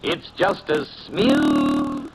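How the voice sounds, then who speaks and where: A man's voice: extremely low pitch, huge pitch swings, extremely slow, vocal fry, music in the background, rough recording. A man singing an advertising jingle in 1940s radio style. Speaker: jingle singer.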